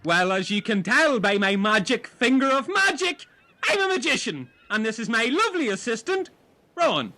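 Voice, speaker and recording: A man's voice: very animated, normal speed, bright, forceful tone, full character voice. A man voicing a pompous, scheming male magician with a theatrical, grandiose manner in a comedy sketch. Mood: showy. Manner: grandiose, drops to low menacing tones.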